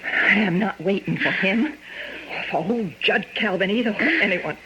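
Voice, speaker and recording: A woman's voice: mid-range voice, moderate pace, natural voice. An actress playing a determined, stoic young woman in a radio drama, resolute and commanding. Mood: resolute, straining. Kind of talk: old-time radio drama acting. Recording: noisy old-style radio drama recording.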